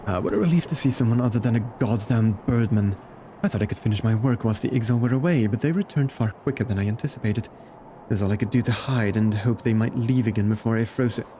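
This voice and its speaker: A man's voice: mid-range voice, fast, dark tone, a little hiss or hum, natural voice. A man reading game dialogue aloud as a haughty, prickly astrologian who scorns outsiders. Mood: relieved, grumbling.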